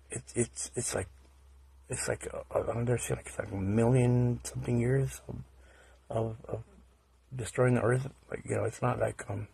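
A man from the United States with a hoarse, raspy voice, giving casual, rambling commentary in a halting, repetitive way with rhetorical questions. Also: medium pitch, darkish tone. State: hoarse after a recent stomach virus. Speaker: video narrator.

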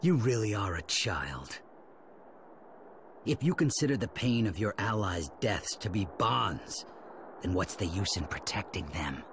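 A man's voice: fairly low pitch, sing-song, unhurried, slight background noise, heavily acted. A man playing a cold, bitter, scornful villain in an anime game dub. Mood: dismissive. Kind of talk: dubbed anime game acting. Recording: loud music under the voice.

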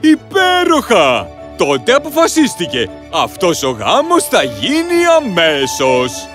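A man's voice: high voice, huge pitch swings, a bit bright, soft background music, full character voice. A man voicing a generous, jovial king in a dubbed cartoon fairy tale, speaking in grand announcements. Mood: delighted. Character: jovial grown male king, warm and generous. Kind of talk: Greek cartoon dub acting.

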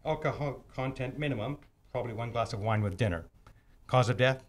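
A man's voice: medium pitch, fairly quick, natural voice. A man playing a cocksure wisecracking homicide cop. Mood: matter-of-fact. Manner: wisecracking, smug, clipped. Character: teasing, cocksure male homicide police detective, 1950 radio mystery. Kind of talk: old-time radio acting. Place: theatre stage, live performance. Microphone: stand mic on stage.

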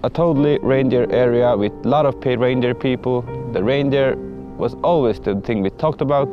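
A middle-aged man, a reindeer herder speaking haltingly in simple second-language English in a reflective interview. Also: mid-range voice. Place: outdoors on open tundra. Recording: muffled sound with music behind.

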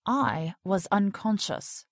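A woman's voice: mid-range voice, expressive, slow pace, no echo, clean studio sound. An actress in an audio drama playing an indignant, strong-willed woman who works at a research facility.

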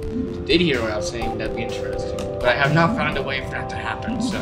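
A man's voice: medium pitch, lively intonation, fast talker. A man giving casual, joking gameplay commentary in a playful, sarcastic manner, often talking to himself and the game's characters. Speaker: gaming video host. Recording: loud music behind the voice.

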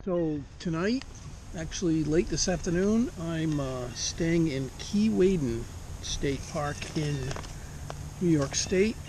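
A middle-aged man chatting casually outdoors as a motorcycle travel vlogger, relaxed and rambling with many fillers. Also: a little high, slow pace, over music, low-quality recording. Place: outdoor campsite picnic table. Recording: outdoor wind and ambient noise.

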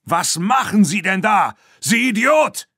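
A haughty male horse buyer from a children's audiobook, acted out by a male narrator. He is curt and scornful, quick to anger, with a raised, swooping pitch that can turn shrill. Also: expressive, a bit bright, husky, no echo, studio quality, exaggerated voice. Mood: panicked shrieking. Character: haughty, irascible male horse buyer, spiteful and easily panicked.

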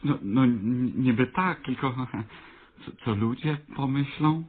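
An actor playing a timid, clumsy small-town trumpeter in a radio comedy, hesitant, stammering and apologetic. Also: male voice, medium pitch, takes it slowly, phone-quality sound. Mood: apologetic, embarrassed. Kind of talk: radio comedy play acting.

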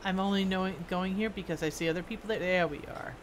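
A woman's voice: lowish voice, steady tone. Musing woman streamer over noisy game sound. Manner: musing, halting, talking to herself. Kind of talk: casual gameplay commentary, reading quest text aloud. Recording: clear game ambience and noise. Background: video game ambience.

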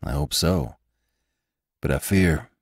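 A man's voice: rumbling low, slow pace, dry recording, studio quality, pitched below the performer's own voice, full character voice. A man voicing a grim, determined young cowboy hero in a western audiobook, speaking in a terse, decided way. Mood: bitter. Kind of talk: audiobook character acting.

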